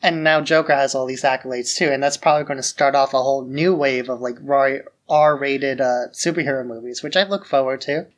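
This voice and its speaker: A man's voice: medium pitch, fairly even intonation, a little fast, hardly any pauses. A man co-hosting a podcast, talking casually and informally about films with a fan's strong opinions. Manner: informal, opinionated fan talk. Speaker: podcast host. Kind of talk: casual film discussion.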